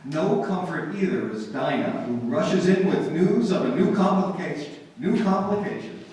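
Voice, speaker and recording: Echoey, animated radio narrator, acted by a man. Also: fairly high pitch, moderate pace, natural voice. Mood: dramatic. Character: dramatic old-time radio narrator setting scenes. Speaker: narrator, announcer. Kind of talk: 1940s radio narration, live. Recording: echoey hall from far off, faint noise.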